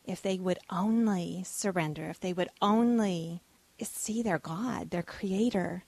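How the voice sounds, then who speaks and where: A woman's voice: lowish voice, a little slow, darkish tone, dry recording. A woman from Texas with a Texan accent, a radio show guest telling a heartfelt personal testimony. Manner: earnest, heartfelt storytelling.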